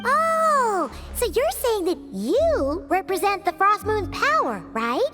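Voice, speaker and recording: A woman voicing a tiny, childlike, chatty fairy companion in an anime-style video game, squeaky, curious and informal. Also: sing-song, slow pace, exaggerated voice. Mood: confused, inquisitive. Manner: informal, talks of herself in third person. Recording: music behind the voice.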